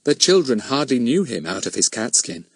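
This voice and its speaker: A man's voice: mid-range voice, very animated, normal speed, vocal fry, breathy edge, dry recording. A man reading a children's story as scripted audiobook narration.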